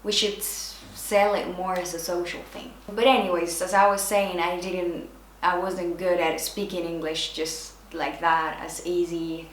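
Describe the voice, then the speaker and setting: A young woman from Spain, born in Argentina, with a Spanish accent, chatting slowly and casually in her vlog. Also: lowish voice. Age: young adult. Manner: slow, relaxed, switches between languages. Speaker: YouTuber. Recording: echoey room, faint noise.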